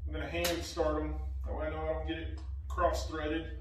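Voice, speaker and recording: A man's voice: a little high, monotone, normal speed, slight background noise, rough recording. A man in his forties casually explaining a motorcycle windshield install in his garage, talking while he works and drifting from the phone camera. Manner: plain-spoken, talks while working with his hands, drifts from the mic. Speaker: motorcycle vlogger. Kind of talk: casual how-to narration while working.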